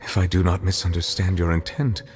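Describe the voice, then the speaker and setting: Man voicing a deep, grave, dignified dragon judge. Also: steady tone, darkish tone, lightly acted. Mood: grave, probing. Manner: formal, reserved, deliberate questioning. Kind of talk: dramatic video game voice acting. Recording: music behind the voice. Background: music.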